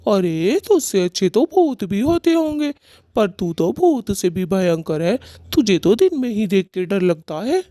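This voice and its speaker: Woman as a mellow-toned grumbling bird husband. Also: medium pitch, sing-song, unhurried, no echo, studio quality. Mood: angry, exasperated. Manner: blustering, swinging delivery. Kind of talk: Hindi cartoon voice acting.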